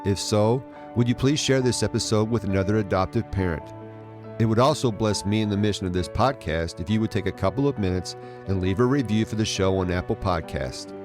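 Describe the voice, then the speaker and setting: A middle-aged man narrating a scripted podcast as host, in a measured, encouraging manner. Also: fairly low pitch, music in the background. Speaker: podcast host, adoption life coach.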